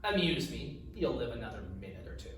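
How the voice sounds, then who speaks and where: A man reading the part of a menacing, steady, growling bandit in a fantasy story. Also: mid-range voice, brisk, lightly acted. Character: menacing male bandit with a knife, steady and contemptuous. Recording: distant microphone, very echoey room, faint noise.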